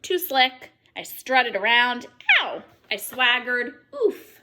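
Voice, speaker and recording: A woman reading a children's picture book aloud expressively, acting out the exclamations in an animated manner. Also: fairly high pitch, slow, loud music behind the voice. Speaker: read-aloud storyteller. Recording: noticeable background noise.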